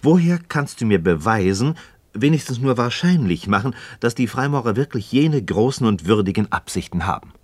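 An actor playing an eager, doubting but persistent friend and pupil in a radio play, questioning intently in literary stage diction. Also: male voice, medium pitch, animated, moderate pace, darkish tone, breathy edge, no echo. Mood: curious, insistent.

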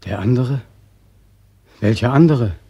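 Casting: bitter homecoming soldier for radio play, rough-edged mid-range voice, insistent, close and dry. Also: male voice, mid-range voice, a little slow, mellow, no echo, highs cut off. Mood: startled, questioning. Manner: agitated, insistent, repeating himself. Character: bitter, despairing young soldier home from war. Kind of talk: German radio play acting.

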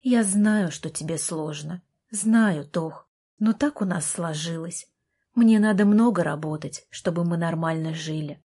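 A woman's voice: on the low side, measured pace, dark tone, breathy edge. Audiobook role: weary young woman caring for a younger brother, apologetic, pleading, insistent, read by a female narrator. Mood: soothing.

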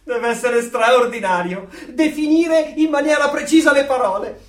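An actor in a radio drama playing a gushing, proud parent and eager conformist, chatty, emphatic and theatrically amazed. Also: male voice, extremely high pitch, moderate pace. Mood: fawning. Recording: echoey room, distant from mic.

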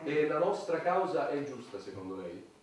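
Officious cabinet chairman, radio satire: formal and pompous, slightly high voice, distant in a noisy recording. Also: very animated, normal speed, darkish tone, heavy reverb. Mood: businesslike. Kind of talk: satirical radio theatre acting.

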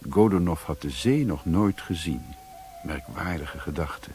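An actor playing a weary, sombre adventurer, narrating his journey in measured, solemn literary style for a radio drama. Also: lowish voice, a little mellow.

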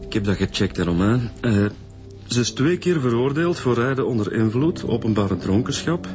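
An actor playing a keen Flemish detective inspector in a radio drama, explaining things in a colloquial way. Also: male voice, medium pitch, lively intonation, moderate pace, a little mellow, faint music behind, lightly acted. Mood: matter-of-fact, keen. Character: keen male detective inspector setting out his findings. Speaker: actor in a radio police serial.

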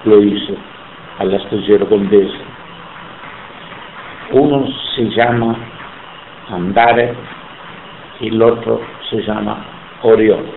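Older man, Italian accent, low-pitched, emphatic, tinny echoey tape. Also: fairly even intonation, slow pace, gravelly, pauses often, slight background noise. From Sicily, Italy. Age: 70s. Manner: emphatic, indignant about his pension. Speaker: interviewee. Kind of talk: oral history interview.

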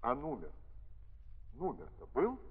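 An actor playing an anxious, remorseful Russian nobleman in a 1930s radio drama, halting and earnest, repeating his words. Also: mid-range voice, huge pitch swings, husky, full character voice. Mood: anxious, probing. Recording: muffled, reverberant 1930s archive recording.

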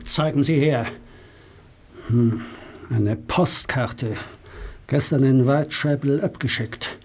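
Husky, commanding police inspector. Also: male voice, medium pitch, takes it slowly, a little mellow. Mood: pondering. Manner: authoritative, clipped, businesslike. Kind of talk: German radio play acting.

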